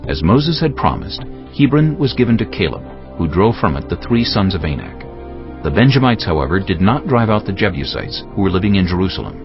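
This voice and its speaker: A man narrating scripture from a script in a measured, solemn storytelling manner. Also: sits low, sing-song. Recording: dull lo-fi, music behind. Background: music.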